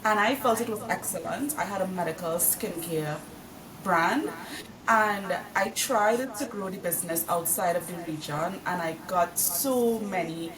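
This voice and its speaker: Lady explaining her business, calm and earnest, sounds far off in a roomy space w/ people around. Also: on the low side, a little slow. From Trinidad and Tobago. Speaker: podcast guest, business consultant. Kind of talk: casual interview. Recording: roomy, distant mic with crowd noise.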